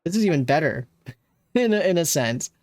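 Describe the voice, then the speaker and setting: Low-voiced woman commenting on a game. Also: expressive, moderate pace, darkish tone, dry recording. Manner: chatty, reactive, talks to the game characters. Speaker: game streamer. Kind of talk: casual let's-play commentary.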